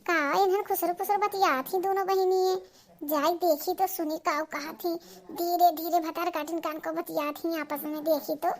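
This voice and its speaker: A woman voicing a coarse, meddling village mother with an eastern Uttar Pradesh accent, given to drawn-out exclamations, in a comedy cartoon. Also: very high-pitched, fairly even intonation. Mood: suspicious, scolding. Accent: eastern Uttar Pradesh Bhojpuri-Awadhi.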